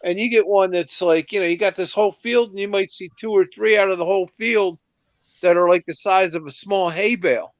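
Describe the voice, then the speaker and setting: A man from Pennsylvania rambles through long stories as a guest on a casual stream. His voice sits high for a man, and it comes through muffled and dry, like a cheap lo-fi mic. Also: a little fast, dry recording. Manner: rambling storyteller. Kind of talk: casual group chat on a livestream.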